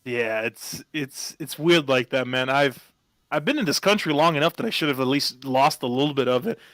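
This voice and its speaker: A man's voice: medium pitch, normal speed, a bit bright, dry recording. A man from Ukraine with a Ukrainian accent, chatting casually and self-mockingly on a call. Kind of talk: casual chat.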